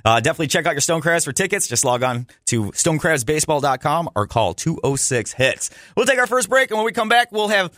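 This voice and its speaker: A man's voice: fairly high pitch, big pitch swings, quick pace, few pauses, a bit bright, no echo, studio quality. A man hosting a sports radio show, chatting in a casual, chummy interview style. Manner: chummy, informal.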